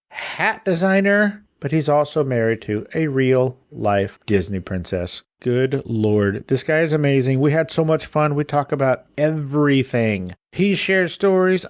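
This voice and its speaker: A man hosting a podcast, gushing with enthusiasm and quick asides. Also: medium pitch, no echo, studio quality. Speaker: podcast host. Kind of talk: casual podcast interview.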